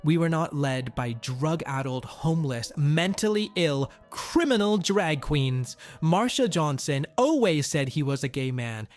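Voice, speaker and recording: A man's voice: fairly high pitch, animated, measured pace, few pauses, soft background music. A man reading a written statement aloud in an indignant, scolding manner.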